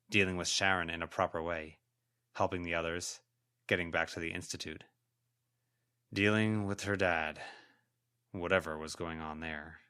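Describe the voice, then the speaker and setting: A man's voice: low-pitched, fairly bright tone. A man reading scripted fiction as an audiobook narrator.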